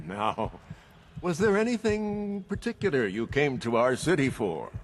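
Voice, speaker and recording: Game mayor: a blustering, slick middle-aged politician, extremely animated intonation, unhurried, pompous oratory. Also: male voice, medium pitch, slight background noise, full character voice. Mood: smooth.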